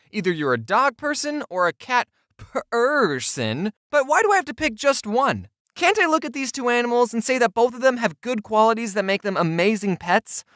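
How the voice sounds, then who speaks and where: A narrator telling scripted comedic personal stories in a playful, self-deprecating way, with deadpan asides. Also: mid-range voice, no room sound, clean studio sound. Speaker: storyteller narrator.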